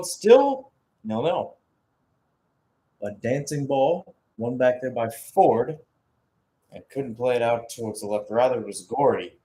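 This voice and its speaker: A man giving live radio-style football play-by-play, halting and correcting himself in places, then surging with the action. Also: mid-range voice, mellow.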